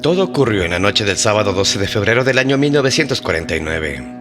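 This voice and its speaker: A man's voice: lowish voice, sing-song, quick pace. Reverberant male mystery narrator. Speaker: host and narrator of a mystery channel. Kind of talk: scripted documentary storytelling. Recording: long reverb, faint music behind.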